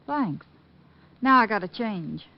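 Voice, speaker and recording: A hard-boiled, flirtatious showgirl in vintage radio drama, cool and slangy. Her voice is fairly high and very melodic. The recording is dull, with faint noise. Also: animated, slow pace, gravelly. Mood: wry, flirty. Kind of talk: old-time radio acting.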